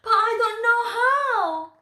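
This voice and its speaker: A woman's voice: very high voice, expressive, slow pace, bright, forceful tone, voice pushed up, full character voice. A woman voicing a worried little girl in a children's story, plaintive and exclaiming. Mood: anxious. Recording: echoey room.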